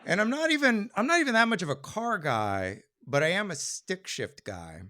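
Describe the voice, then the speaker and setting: A man telling a personal story live on stage in a conversational, halting, self-deprecating way. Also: high voice, sing-song, sharp tone.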